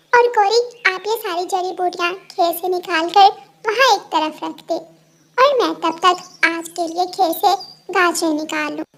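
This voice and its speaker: A woman's voice: very high-pitched, fairly bright tone. A woman voicing a hardworking, scolding female bird in a children's cartoon. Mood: bossy.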